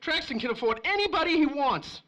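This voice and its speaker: A man's voice: very high voice, expressive, fairly quick, bright, forceful tone, full character voice. An actor playing a nervous, shifty small-time crook and informant in a 1930s-style radio crime drama, with whiny, slangy wise-guy talk. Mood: reluctant confiding.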